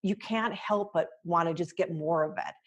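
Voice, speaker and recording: A woman's voice: lowish voice, moderate pace. A woman hosting an interview, admiring and hesitant, circling her thoughts before landing on them. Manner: admiring, hesitant, many fillers and restarts. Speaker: interviewer, host. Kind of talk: candid interview conversation.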